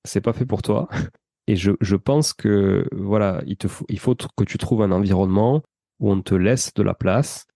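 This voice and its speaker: A man's voice: on the low side, moderate pace, vocal fry, mellow, dry recording, clean studio sound. A man chatting informally and explaining at length as a guest in an interview. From France, of Portuguese descent. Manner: informal, talkative, explanatory, slangy. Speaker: interview guest, entrepreneur and property investor. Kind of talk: casual conversational interview, recounting own experience.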